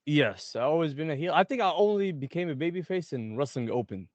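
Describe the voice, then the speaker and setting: Young man, fairly high voice. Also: animated, a little fast, dry recording. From Egypt. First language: Arabic. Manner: laughs often, fillers like "like". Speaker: wrestler guest. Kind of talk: casual interview chat.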